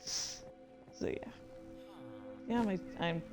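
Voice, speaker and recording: A woman's voice: extremely slow, over music. Chatty, easily sidetracked woman streamer. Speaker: livestream host and streamer. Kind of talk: casual rambling livestream chat to viewers.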